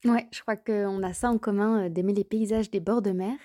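A woman hosts a podcast and talks in a fluent, chatty way, asking questions and explaining things at length. She sometimes restarts a phrase as she translates on the fly. Her voice is mellow and warm, and her pitch sits in an ordinary middle range. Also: steady tone, normal speed. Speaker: podcast host.

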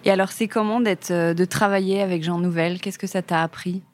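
A woman's voice: lowish voice, fairly even intonation, brisk. A woman hosting an informal interview, asking conversational questions. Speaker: interviewer, host.

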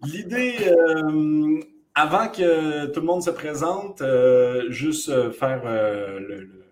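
Rambling webinar host, plenty of fillers. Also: male voice, on the high side, slow pace, darkish tone, slight background noise. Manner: rambling, with many fillers and restarts. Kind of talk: casual webinar introduction. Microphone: video call.

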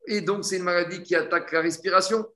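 Casting: male religious teacher, high voice, didactic question-and-answer delivery, echoey room. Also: steady tone, fast, pushed and bright. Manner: didactic, asks and answers own questions, repeats phrases. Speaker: religious teacher giving a Talmud class. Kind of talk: explanatory Talmud lesson, reading a text and translating it.

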